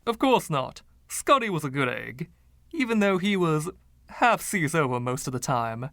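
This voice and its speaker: A man's voice: on the high side, very animated, moderate pace, gravelly, a bit bright, no room sound, heavily acted. Guy doing a boastful giggly dandy from the twenties, silky voice, theatrical storybook read, real dry close sound. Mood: teasing, dismissive. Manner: theatrical, teasing, old-fashioned slang. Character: flamboyant, boastful, giggly 1920s dandy named Freddie.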